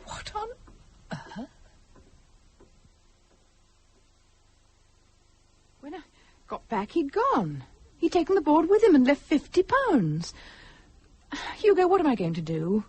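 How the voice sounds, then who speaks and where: A woman's voice: sits high, huge pitch swings, a little slow, a little mellow, no room sound, exaggerated voice. An actress playing an anxious, polite woman in a naturalistic radio drama, hesitant and stammering as she speaks. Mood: worried, bewildered. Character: flustered, anxious married woman, polite and evasive.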